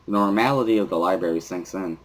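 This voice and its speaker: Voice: low-pitched, fast talker, low-quality recording. A storyteller giving a dramatic reading of a first-person story as narrator.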